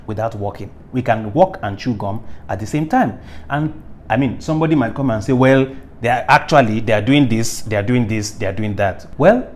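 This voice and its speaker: A man's voice: medium pitch, a little mellow. A Nigerian man hosting a current affairs show, delivering opinionated commentary in an emphatic, theatrical way with lots of rhetorical questions. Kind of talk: opinionated news commentary to viewers.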